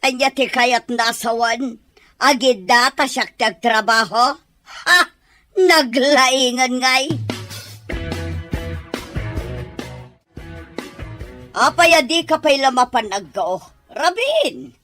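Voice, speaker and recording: A woman's voice: high voice, lively intonation, slow, soft background music, full character voice. An actress playing a stern, domineering middle-aged mother-in-law in a radio drama, scolding and bossy. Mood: indignant. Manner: scolding, sharp-tongued.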